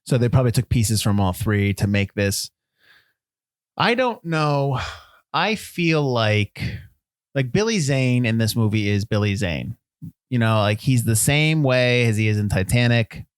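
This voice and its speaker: A man's voice: medium pitch, unhurried, clear, resonant tone, dry recording, clean studio sound. A man in his forties co-hosting a film podcast in casual chat, joking and acting out scenes in silly voices. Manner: jokey, animated, acts out scenes in silly voices. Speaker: podcast co-host.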